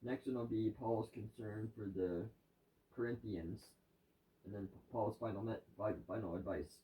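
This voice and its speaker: A man's voice: lowish voice, normal speed, dark tone, rough recording. A man in a casual chat about religion with his wife, plainspoken, agreeable and blunt. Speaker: vlogger's husband, devotional reader.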